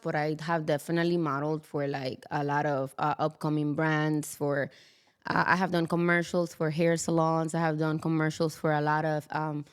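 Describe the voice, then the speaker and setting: A young woman from the Dominican Republic, a model chatting as a podcast guest, animated and laughing often, with many fillers. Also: low-pitched, clean tone. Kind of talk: casual interview chat. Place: South Florida.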